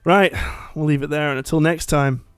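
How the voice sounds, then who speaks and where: A man's voice: on the high side, fairly even intonation, normal speed, clean tone, dry recording, studio quality, soft background music. A man co-hosting a let's play, chatting playfully about a game and reading bits of it aloud.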